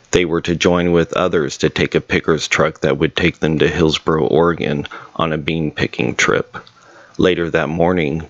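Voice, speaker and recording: A man giving a measured, serious scripted voice-over narration about a local ghost story. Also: rumbling low, dry recording, dull audio. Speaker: paranormal investigator narrator.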